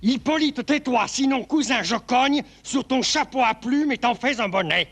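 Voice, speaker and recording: A man voicing a fussy, easily exasperated character in a children's show, creaky and husky, given to exclaiming. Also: extremely high pitch, expressive, normal speed, a bit bright, exaggerated voice. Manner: exclamatory, scolding. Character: fussy, easily exasperated puppet cousin, quick to scold.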